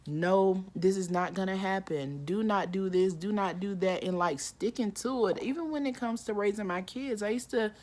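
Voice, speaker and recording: A woman in her early forties talking casually and reflectively to camera, with many "like" and "um" fillers, acting out inner voices with sudden pitch jumps. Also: a little mellow. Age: 40s. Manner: frequent "like" and "um" fillers. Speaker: vlogger.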